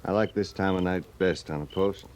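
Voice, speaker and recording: A man's voice: deep voice, fairly even intonation, a little fast, darkish tone, slight background noise, natural voice. A man acting an earnest, deferential, slightly naive junior cavalry officer in a 1950s radio western, polite and tentative. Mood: reflective, curious. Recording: vintage radio drama, music behind.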